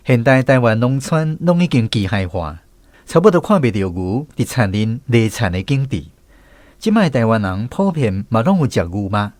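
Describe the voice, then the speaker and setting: A man's voice: medium pitch, darkish tone, no room sound. A man with a Taiwanese accent, a radio host and storyteller reading scripted narration.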